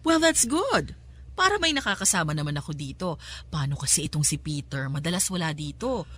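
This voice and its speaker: A woman's voice: low voice, big pitch swings, a little fast, a bit bright, heavily acted. Haughty, theatrical Filipino mother, swooping voice. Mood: gushing, falsely sweet. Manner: gushing sweetness switching to cutting contempt, English mixed in. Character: two-faced, haughty, wealthy mother scorning her son's girlfriend. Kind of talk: radio drama acting.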